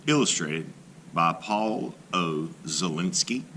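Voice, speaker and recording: A male teacher reads a fairy tale aloud for children. His voice is low but bright, with lively, animated intonation, and a faint hiss of noise sits behind it. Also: takes it slowly. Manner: expressive, animated storytelling. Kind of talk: storybook read-aloud.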